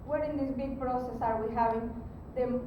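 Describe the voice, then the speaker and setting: A woman giving an explanatory technical presentation as a conference speaker, pausing hesitantly mid-sentence. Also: fairly even intonation, highs cut off. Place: conference room, recorded from a distance. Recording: very echoey, distant mic, rumbling background noise.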